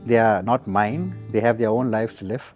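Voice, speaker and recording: A musician in his forties from Singapore, speaking. He gives relaxed, reflective interview answers and laughs often. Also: lowish voice, moderate pace, faint music behind. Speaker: musician guest.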